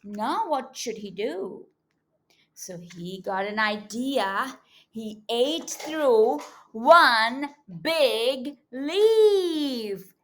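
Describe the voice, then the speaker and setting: Female kids' educator for read-alouds; high voice, unhurried delivery, teacherly, engages little listeners with questions. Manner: animated, teacherly, often prompting children with questions. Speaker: children's educator and storyteller.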